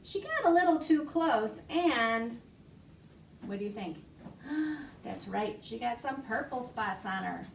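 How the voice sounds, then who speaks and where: A woman librarian reading a picture story aloud to young children from her home, animated and full of gasps. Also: a little high, slow, vocal fry, recorded from a distance, a little hiss or hum. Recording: echoey room, voice off-mic.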